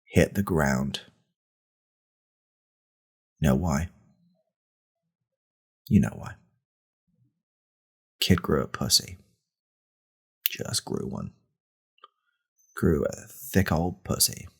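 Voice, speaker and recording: A man playing a creepy, self-serious right-wing podcast host in a comedy parody, deadpan and halting, with a gravelly, husky voice. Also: a bass voice, full character voice. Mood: grave outrage.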